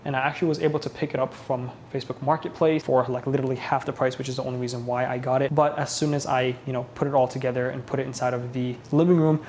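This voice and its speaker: A man's voice: medium pitch, flat delivery, fast talker, hardly any pauses, a little mellow, a little hiss or hum. A man with a mild head cold talking casually as the host of a home tour vlog, indoors in an empty living room.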